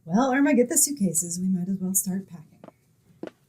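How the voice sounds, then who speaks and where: A woman playing an exasperated, sensible young roommate who narrates an old-time radio sitcom in a wry, expressive way. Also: mid-range voice, moderate pace, a little hiss or hum, lightly acted. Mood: resigned.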